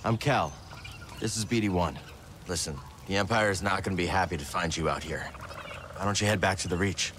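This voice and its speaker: A man voicing a friendly, calm and watchful adventurer in a video game. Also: deep voice, brisk, a little hiss or hum. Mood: reassuring.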